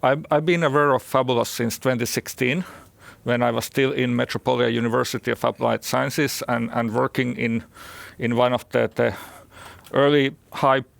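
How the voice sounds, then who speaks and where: A man with a Finnish accent gives a conference presentation as a company executive, speaking haltingly and often repeating words. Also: mid-range voice, steady tone, creaky, dry recording. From Finland.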